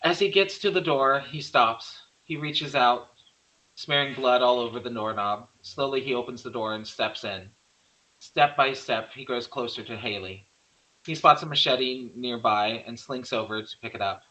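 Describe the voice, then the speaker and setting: A man's voice: mid-range voice, normal speed, pushed and bright, muffled recording. A man reading out screenplay stage directions as a narrator.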